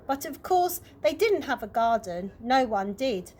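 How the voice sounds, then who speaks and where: A woman's voice: a little high, sing-song, a little slow, a little mellow. A woman reading a chapter book aloud as bedtime story narration for children. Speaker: early years practitioner reading aloud.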